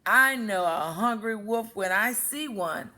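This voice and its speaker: A woman's voice: mid-range voice, lively intonation, unhurried, pushed and bright. A woman voicing a wary little male pig from a storybook, indignant and defiant.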